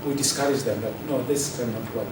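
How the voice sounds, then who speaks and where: A man's voice: mid-range voice, fairly even intonation, brisk. A man giving an earnest public speech at a debate. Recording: echoey hall from far off, noisy.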